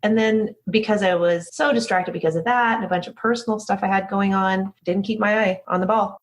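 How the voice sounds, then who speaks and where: A woman's voice: mid-range voice, fairly even intonation, a little fast. A woman speaking as an interview guest, candid and informal, emphatic and often interrupting herself as she talks. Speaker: interview guest, business founder.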